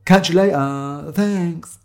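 A man's voice: on the high side, slow pace, voice pushed up. A man voicing an apologetic yet patronising street photographer in an audio story, hesitant and breezy. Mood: breezy, awkward.

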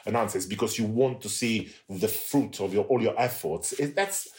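A man's voice: on the low side, normal speed, darkish tone. A middle-aged man from Italy speaking in a casual podcast chat, hesitant and candid, often restarting his sentences. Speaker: podcast guest.

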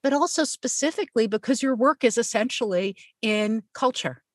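A woman's voice: fairly high pitch, moderate pace, dry recording, studio quality. A woman hosting a radio interview, introducing her guest with effusive praise. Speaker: radio show host. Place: radio studio. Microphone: studio mic.

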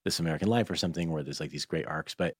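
A man's voice: extremely low pitch, racing, slightly rough, dry recording, studio quality. A man hosting a podcast, interviewing casually with informal, loosely phrased questions. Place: podcast studio.

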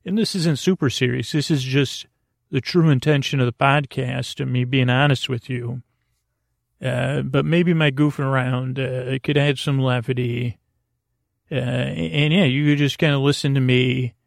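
A man with a gravelly, creaky voice hosts a bedtime podcast. He rambles hesitantly and reassuringly, with a gently silly streak. The sound is close-miked and dry, with almost no room around it. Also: medium pitch, fairly even intonation, normal speed, no echo. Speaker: podcast host. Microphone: close to the microphone.

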